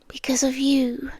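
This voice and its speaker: Woman doing a lonely creepy trapped lady, high whispery voice, slow and coaxing. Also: dry recording, exaggerated voice. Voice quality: breathy, often whispering. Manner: slow, soft and intimate, coaxing. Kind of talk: second-person audio drama.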